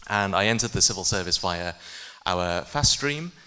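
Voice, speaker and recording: Deep-voiced British man, formal and polite. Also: lively intonation, normal speed, pushed and bright, smooth. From New Zealand, raised in the UK. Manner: polite, formal, with frequent uhs. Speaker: trade official, panel speaker. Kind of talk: panel remarks.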